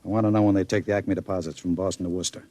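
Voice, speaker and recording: An actor playing a bossy, smooth-talking gangster with a sardonic, commanding manner in an old-time radio drama. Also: male voice, low voice, steady tone, very fast, dark tone, dry recording, natural voice.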